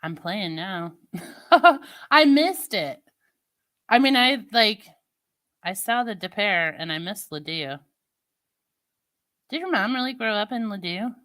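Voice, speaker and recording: A woman with a St. Louis accent in casual chat with viewers, talking in a slow, drawling way. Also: medium pitch, animated, fairly bright tone. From Midwestern United States. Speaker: streamer chatting with viewers.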